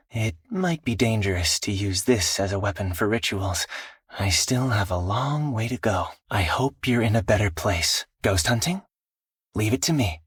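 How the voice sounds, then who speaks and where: A man voicing an earnest, dutiful teenage exorcist swordsman in a video game, speaking solemnly and politely in measured phrases. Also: fairly low pitch, fairly bright tone, husky, no echo, clean studio sound, full character voice. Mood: resolute. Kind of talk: scripted video game character voice lines.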